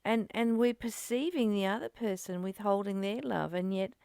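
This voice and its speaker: A woman's voice: mid-range voice, moderate pace, creaky, darkish tone, clear, resonant tone, no room sound, clean studio sound. A woman speaking earnestly in a reflective spiritual discussion, often repeating phrases as she goes. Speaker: study group host reading and commenting. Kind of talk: reflective spiritual discussion, partly read aloud.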